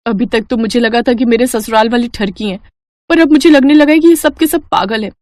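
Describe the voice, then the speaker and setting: A naive young bride, earnest and flustered, played by a woman for a cartoon. Her voice is somewhat high for a woman and recorded dry and close. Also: fairly quick, a little mellow, no echo, natural voice. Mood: exasperated. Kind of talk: cartoon dubbing.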